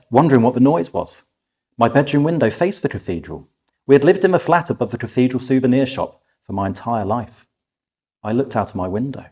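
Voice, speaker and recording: A man reading a children's story aloud as an expressive narrator, with animated delivery and dramatic pauses. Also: mid-range voice, breathy edge. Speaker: author reading a competition-winning story aloud.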